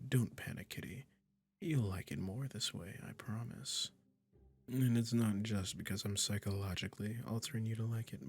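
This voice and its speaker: A soft-spoken, possessive sorcerer croons in hushed, half-whispered tones, played by a man. His voice sits low and gravelly, with a husky grain to it. Music plays faintly behind him. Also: heavily acted. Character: doting possessive male sorcerer claiming a familiar. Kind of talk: audio roleplay.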